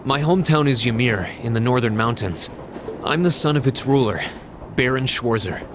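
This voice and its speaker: An actor playing an earnest, gentle young student in a video game, speaking hesitantly and sincerely. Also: male voice, medium pitch, lively intonation, normal speed, noisy background, lightly acted. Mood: hesitant confession. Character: earnest, gentle young male student of adopted noble birth. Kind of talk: video game cutscene dialogue acting.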